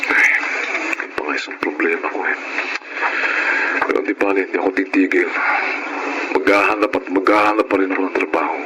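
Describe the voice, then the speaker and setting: An actor playing a proud, touchy, middle-aged jobless husband in a radio drama, speaking emphatically. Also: male voice, low voice, fairly even intonation, brisk, pauses often, very noisy, close to an everyday voice. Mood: dejected, stubborn.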